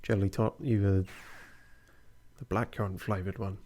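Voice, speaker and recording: A man's voice: fairly low pitch, moderate pace. A man hosting a gaming video in casual, chatty commentary, his voice sometimes breaking. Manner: relaxed, chatty, reads viewer comments aloud. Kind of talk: casual let's-play commentary.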